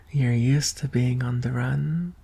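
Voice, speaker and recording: Man acting a breathy, whispery young alien prince. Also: mid-range voice, exaggerated voice. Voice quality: soft, breathy, often whispering. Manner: hesitant, earnest, slow with long pauses. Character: gentle, vulnerable young alien prince, captive and tender. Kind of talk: ASMR roleplay, soft intimate acting.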